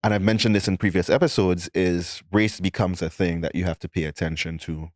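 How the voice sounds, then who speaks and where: A man's voice: deep voice, steady tone, dry recording, clean studio sound. A man from Saint Lucia hosting a podcast, reflecting thoughtfully in casual chat with frequent restarts. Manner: thoughtful, halting with repeated restarts. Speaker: podcast host.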